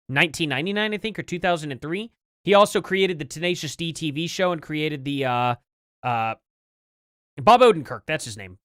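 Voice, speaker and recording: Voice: mid-range voice, a bit bright, no room sound, studio quality. An American livestream host chatting casually and informally to viewers, rambling along and reacting to things. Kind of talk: casual livestream chat, reacting to clips and news.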